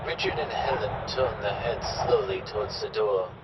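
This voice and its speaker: A man's voice: lowish voice, moderate pace, heavy background noise. A man narrating a horror radio play in a scripted, dramatic style. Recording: distant, muffled recording. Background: loud music.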